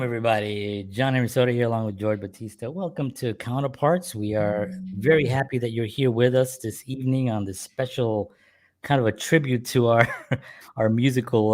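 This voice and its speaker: A man's voice: medium pitch, darkish tone. A middle-aged man hosting a show and reminiscing about being a musician in a casual, rambling, jokey way.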